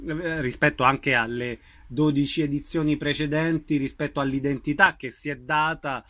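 A man's voice: a little high, fairly even intonation, moderate pace, sharp tone. A man hosting an interview, putting questions to a guest and summing up details for listeners. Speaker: interviewer, radio host.

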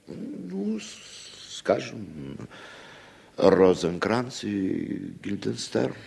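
A man's voice: fairly low pitch, huge pitch swings, very slow, creaky, a little mellow, breathy edge, exaggerated voice. A man acting a cowardly, hard-drinking playwright in a 1960s Soviet radio drama, theatrical, sarcastic and full of self-contempt. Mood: sullen, sarcastic. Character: cowardly, bitter, hard-drinking male playwright turning informer. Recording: reverberant 1960s radio play recording.

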